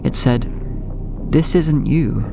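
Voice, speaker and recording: A young man acting as a haunted, anxious narrator of a horror audio drama, telling his nightmares in a brooding, ornate, measured way. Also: on the high side, noisy background. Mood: shaken, confused. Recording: music bed under the voice. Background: clear background music.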